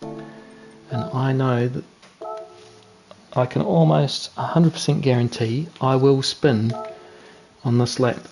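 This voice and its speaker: A man's voice: medium pitch, fairly even intonation, takes it slowly, gravelly, long pauses, a little mellow, faint music behind, low-quality recording. A young man with a New Zealand accent giving laid-back, chatty commentary while streaming a racing game, joking at his own mistakes. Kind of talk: casual gameplay commentary.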